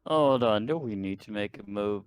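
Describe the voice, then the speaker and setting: A man's voice: fairly low pitch, moderate pace, smooth, no room sound. A man talking casually as a player in a tabletop roleplaying game discussion.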